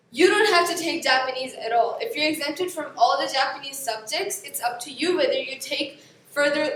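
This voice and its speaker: High-voiced young woman in an echoey classroom. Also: a bit bright, recorded from a distance, slight background noise. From Pakistan. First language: Urdu. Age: young adult. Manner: chatty, explains with examples, self-corrects often. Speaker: student guide. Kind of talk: presentation explaining course registration. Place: classroom in front of a screen. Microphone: far camera.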